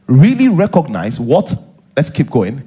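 A middle-aged man with a Nigerian accent and a husky voice, preaching as a pastor to a live congregation in an animated, conversational way, full of interjections and rhetorical questions. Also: mid-range voice, measured pace. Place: large church auditorium, on stage. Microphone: headset.

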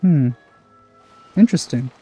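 A man's voice: a little high, animated, unhurried, warm tone. A man giving casual let's play commentary as a gaming host, musing and hesitant. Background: game music.

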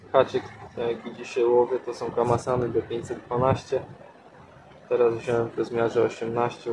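A young man casually talking through his fishing session outdoors by a canal, explaining his gear step by step. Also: medium pitch. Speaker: angler vlogger. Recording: outdoor noise, nature sounds behind.

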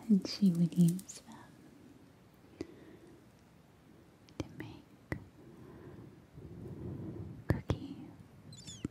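A woman as a slow, whispering, mystical witch. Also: on the low side, little pitch movement. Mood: tender, entranced. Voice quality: breathy, hushed. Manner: slow, intimate, soothing. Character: mystical, tender, possessively protective witch visiting in dreams. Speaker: ASMR performer. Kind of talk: ASMR roleplay, soft speaking and whispering. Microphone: close-up ear-to-ear microphone.

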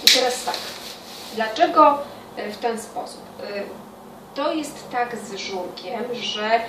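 Echoey noisy kitchen, middle-aged woman, cooking tutorial, explanatory, pausing mid-sentence. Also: on the high side. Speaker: home cooking show host. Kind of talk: informal cooking tutorial to camera. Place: small home kitchen by a window, indoors. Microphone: camera on counter a step away. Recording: distant mic, echoey room, loud kitchen noise.

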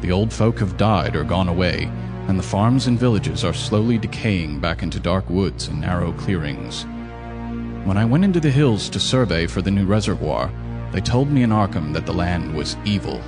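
A man narrating a horror radio drama in a measured, somber way. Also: deep voice, animated, music in the background. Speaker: narrator, a surveyor.

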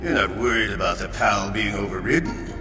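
Man acting a sly, low-voiced henchman. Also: expressive, a little fast, close to an everyday voice. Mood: wary, probing. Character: sly male henchman and interrogator, wary adviser. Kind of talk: dramatic video game cutscene acting. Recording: echoey room under loud ominous music.

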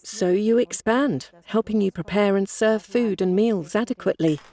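An older woman who runs a restaurant speaks in a measured, reflective way. She is recorded on a lapel mic in a wood-panelled dining room. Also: mid-range voice, mellow. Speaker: restaurant owner. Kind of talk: interview. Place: indoor wooden restaurant.

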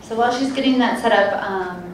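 A woman moderating a meditation panel, talking slowly and gently with many fillers as she guides and introduces speakers. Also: a little high. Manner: calm, slow, gentle, hesitant with fillers. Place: conference room with a panel table. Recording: very echoey room, distant mic, hiss.

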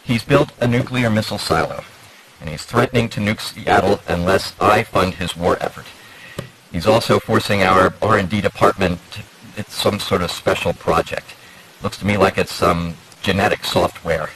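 An actor as a low-voiced, worried software tycoon. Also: male voice, normal speed, recorded from a distance, a loud crowd, close to an everyday voice, rough recording. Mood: anxious, urgent. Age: middle-aged. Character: worried middle-aged male software tycoon under siege. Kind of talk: video game cutscene acting. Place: office, as a video transmission.

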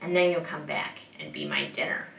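A woman's voice: low-pitched, sing-song, gravelly, lo-fi sound, slight background noise, pitched below the performer's own voice, heavily acted. Storybook mountain witch, old, hungry and menacing played by a female storyteller; distant, echoey pickup. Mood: grudging, threatening. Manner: menacing, drawn-out calls. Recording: roomy echo, distant microphone.

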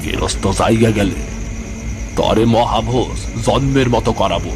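Guy doing a grumpy cartoon burglar boss, keeps it hushed and scolding, music behind. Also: lowish voice, normal speed, lightly acted. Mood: irritated, scheming. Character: bossy, irritable thief scolding his foolish partner.

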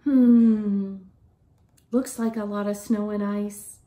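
A middle-aged woman reading a picture book aloud to young children in an expressive teacherly way. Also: medium pitch, takes it slowly. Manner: expressive, questioning, child-directed delivery. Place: living room at home, indoors.

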